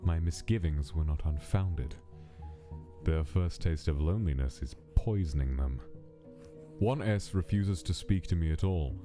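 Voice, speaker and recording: Man acting a very deep-voiced, clinical scientist. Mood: clinical, concerned. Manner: measured, formal, detached. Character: cold, clinical male scientist narrating his experiment log. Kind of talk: scripted dramatic monologue in a comic dub. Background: music behind the voice.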